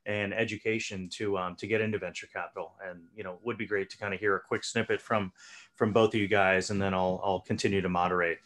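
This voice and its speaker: A man interviewing guests over a video call, casual and relaxed, with many fillers. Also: sits low, fast talker. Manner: relaxed, filler-heavy moderating.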